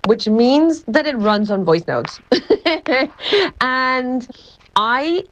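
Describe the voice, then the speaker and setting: A woman's voice: a little high, sing-song, extremely slow, a little hiss or hum. A woman journalist recording a casual voice message. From Mexico. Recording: slightly dull lo-fi voice note.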